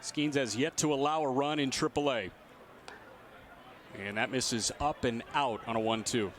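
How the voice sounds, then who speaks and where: A man works as a radio baseball announcer, calling the game live. His voice sits at a middling pitch. Faint outdoor stadium ambience runs behind the commentary. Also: fairly even intonation, moderate pace, a little hiss or hum. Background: ballpark ambience.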